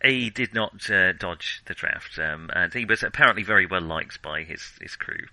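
A man co-hosting a film podcast, chatting casually in a halting, digressive way and often correcting himself. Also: low-pitched, animated, pushed and bright, dry recording. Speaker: film discussion podcast co-host.

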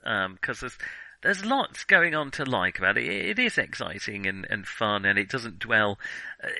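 A man's voice: on the low side, sing-song, normal speed, creaky, pushed and bright, no echo, rough recording. A man co-hosting a film podcast, chatting casually through a review in a hesitant, chuckling way as he thinks aloud.

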